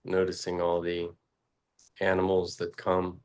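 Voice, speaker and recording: Male meditation teacher, very low and level voice, unhurried halting delivery with long pauses. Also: clear, resonant tone. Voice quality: very low, flat voice. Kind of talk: guided meditation.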